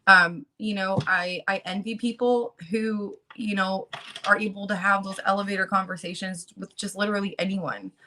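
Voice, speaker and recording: A woman in her late twenties who just woke up, talking earnestly and haltingly, with many ums and you-knows, partly reading resources aloud. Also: medium pitch, steady tone, sharp tone. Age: late 20s. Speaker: guest speaker on a channel about fighting child protective services.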